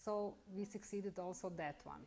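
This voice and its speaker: A middle-aged woman with a Bosnian accent, carefully telling her own story in halting English during an interview. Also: medium pitch, vocal fry, darkish tone. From Bosnia and Herzegovina. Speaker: interviewee, lawyer. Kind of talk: personal account in an interview.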